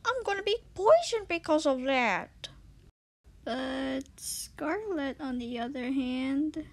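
A woman's voice: high-pitched, animated, slow pace. A young woman narrating a dare video in a dramatic, self-deprecating manner. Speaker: video creator, host.

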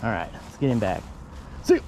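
Low-voiced hyped-up fishing vlogger man. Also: brisk, a little hiss or hum. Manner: excited, hyped-up exclamations. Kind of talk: casual fishing vlog narration. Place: outdoors by a canal.

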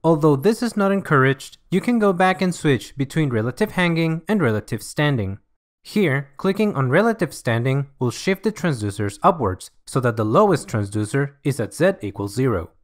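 A man reading scripted software tutorial narration in an instructional, measured way. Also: fairly high pitch, animated.

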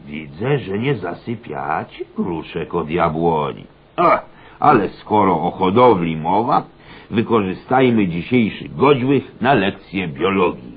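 A man's voice: low-pitched, very animated, unhurried, breathy edge, full character voice. An actor playing a fawning, muddled school lecturer in a satirical radio comedy, pompous and hesitant, garbling his sayings. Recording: dull narrow-band radio recording.

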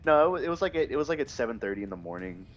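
A man's voice: medium pitch, very animated, brisk, highs cut off. A young adult man chatting casually while gaming, griping and rambling with plenty of swearing. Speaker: gamer telling stories while playing. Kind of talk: casual chat during online gameplay.

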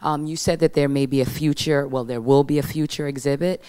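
A woman with a strikingly deep, smooth voice speaks from the audience in a large hall. She politely thanks everyone before asking her question. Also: fairly even intonation, brisk, dark tone.

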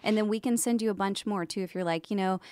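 A woman's voice: mid-range voice, quick pace, dark tone, no echo, clean studio sound. A woman co-hosting a podcast, explaining things in casual conversation as a voiceover coach.

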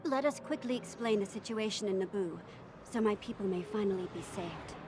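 A woman's voice: medium pitch, brisk, natural voice. A woman playing a solemn, resolute young queen in a video game cutscene, speaking formally and earnestly. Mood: urgent. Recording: clear background effects noise.

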